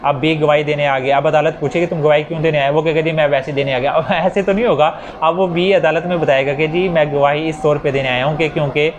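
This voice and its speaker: A man's voice: on the high side, steady tone, fast, hardly any pauses, highs cut off. A man with a Pakistani accent giving an informal law lecture, explaining step by step in a conversational way with rhetorical questions. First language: Urdu. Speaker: law teacher. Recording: noisy recording with background ambience.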